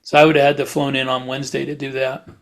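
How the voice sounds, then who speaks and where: A man chatting casually as a podcast host, in a halting, rambling way with repeated words. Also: mid-range voice, little pitch movement. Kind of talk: casual chat.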